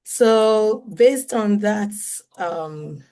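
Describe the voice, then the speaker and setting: A woman hosting an online interview, friendly, laughing lightly and reading audience questions quickly. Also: medium pitch, animated, a little mellow. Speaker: host.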